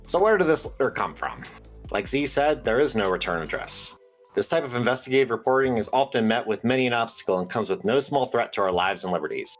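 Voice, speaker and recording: An actor playing an eccentric, conspiracy-minded late-night radio host in an audio drama, theatrical, folksy and rambling. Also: male voice, mid-range voice, lively intonation, fast talker, soft background music, lightly acted. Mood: earnest. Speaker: radio call-in show host.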